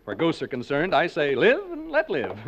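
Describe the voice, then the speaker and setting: A man's voice: fairly high pitch, wildly swooping pitch, normal speed, slight background noise. An actor playing a skeptical, joking male reporter broadcasting a ghost hunt, in 1940s radio acting style. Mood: jokey, chatty. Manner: joking, breezy, chatty with listeners. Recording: dull old 1940s radio recording.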